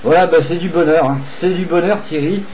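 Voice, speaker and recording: Man, CB radio operator, slightly high voice, repetitive hesitant chat, faint noise. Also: steady tone, fairly quick, a little mellow. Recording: dull, slightly noisy CB radio recording.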